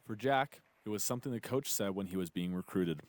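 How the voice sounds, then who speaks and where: Male reporter, very low voice, steady narration, noisy outdoor background. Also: brisk. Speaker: sports reporter. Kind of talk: scripted news narration.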